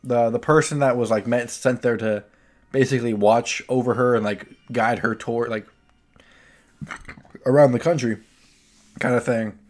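A man's voice: medium pitch, steady tone, normal speed, long pauses, darkish tone. Male, mid-range voice, loose hesitant storytelling among friends. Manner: rambling, hesitant, slangy. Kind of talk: casual anecdotal chat.

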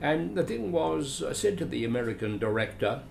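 Brief: older Australian actor, gravelly, theatrical storyteller, roomy home recording. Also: male voice, a little high, normal speed. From Adelaide, Australia. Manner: animated, theatrical, chuckling. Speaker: guest, veteran actor. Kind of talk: storytelling interview. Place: his home. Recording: roomy echo, faint hiss.

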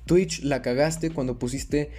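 A man's voice: a little high, steady tone, a little slow, dark tone. A man with a Mexican accent giving a blunt, sarcastic opinion rant for a video, swearing freely. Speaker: YouTuber commentator.

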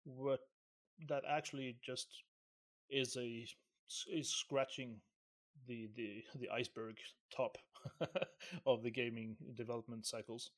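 A man's voice: medium pitch, flat delivery, slow pace. A man from Syria co-hosting a casual podcast, rambling with many restarts and laughing easily. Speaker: podcast co-host.

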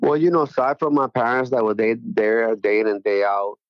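A man's voice: mid-range voice, fairly even intonation, fairly quick, no echo, highs cut off. A middle-aged man from New York City, a jockey agent speaking as a guest in a casual interview, friendly and rambling with lots of "you know".

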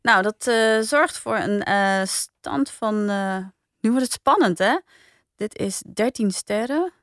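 A woman's voice: fairly high pitch, animated, slow, a bit bright, no room sound, studio quality. A woman with a Netherlands Dutch accent, hosting a podcast and chatting casually as she reviews. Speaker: podcast host. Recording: music bed behind the voice.

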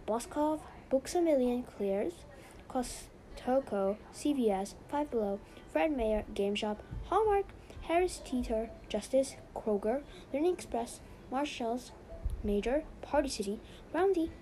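A woman's voice: sits high, warm tone, a little hiss or hum, low-quality recording. A young woman hosting a casual podcast, chatty and rambling with lots of "so yeah". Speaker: podcast host.

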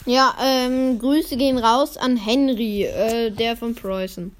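Slow, hesitant high-voiced woman. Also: steady tone. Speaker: podcast co-host. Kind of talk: casual chat.

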